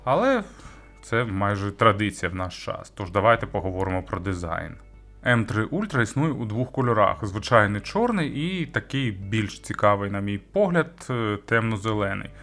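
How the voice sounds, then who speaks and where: Low-voiced man reviewing a gadget over music. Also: very animated, fairly bright tone. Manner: conversational, explanatory, hesitant pauses mid-sentence. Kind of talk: semi-scripted product review talk.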